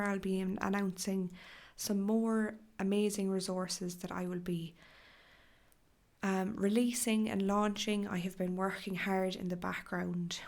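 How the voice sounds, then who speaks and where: Hoarse Irish woman hosting a podcast. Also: mid-range voice. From Ireland. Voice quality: crackly, breathy in places. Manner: gentle, chatty, with fillers and restarts. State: hoarse from a cough. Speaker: podcast host. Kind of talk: solo podcast talk.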